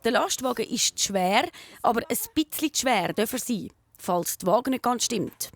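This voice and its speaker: A woman's voice: on the high side, expressive, moderate pace, no echo. A woman with a Swiss German accent presenting a children's show, explaining and asking questions in a casual reporting style.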